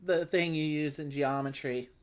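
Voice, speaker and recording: A woman's voice: sits low, fairly even intonation, moderate pace. A woman hosting a board game livestream, chatting casually with playful, wry asides and sounding slightly phlegmy. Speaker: host of a board game play-along livestream. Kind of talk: casual chat with read-aloud game text.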